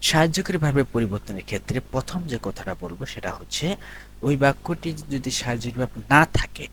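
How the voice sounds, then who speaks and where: A man's voice: medium pitch, animated, quick pace, fairly bright tone, slightly rough. A man teaching a grammar lesson as a tutor, explaining step by step and repeating key points in an instructional way. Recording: noticeable background noise.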